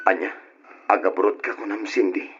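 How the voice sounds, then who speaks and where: An actor playing a lovesick, anxious young boyfriend in an Ilocano radio drama, tender and plaintive, with a Filipino accent. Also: male voice, lowish voice, fairly even intonation, normal speed, a bit bright, soft background music, natural voice. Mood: dismayed. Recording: dull narrow-band radio drama recording.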